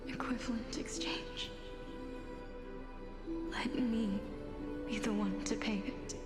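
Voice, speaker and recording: In a long echo over music, a sorrowful maiden of death from a fantasy game. Her high voice is gentle and hushed, and she speaks slowly and ceremonially. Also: steady tone. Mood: solemn, tender. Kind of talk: video game voice acting, solemn monologue. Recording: echoing hall reverb, loud music.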